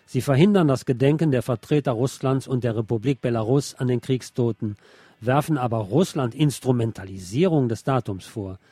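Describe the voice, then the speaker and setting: A man's voice: medium pitch, mellow, slightly rough, dry recording. A man presenting a radio magazine, reading scripted news commentary aloud.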